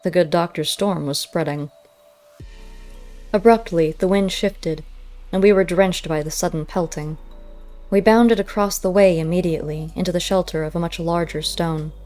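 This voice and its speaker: A woman narrating a first-person story as an audiobook, in measured, literary storytelling. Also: on the low side, fairly even intonation, darkish tone, faint music behind.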